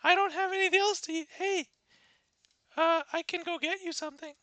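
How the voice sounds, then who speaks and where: A man voicing an innocent young girl in a high falsetto. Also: fairly even intonation, brisk, pushed and bright, dry recording. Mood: naive.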